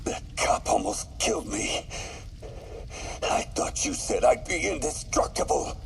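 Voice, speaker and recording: An actor playing a menacing, half-machine male mob boss in a video game, gravelly and commanding. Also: distant mic, slight background noise, lightly acted. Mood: angry. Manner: commanding, deliberate threats. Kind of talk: video game villain acting.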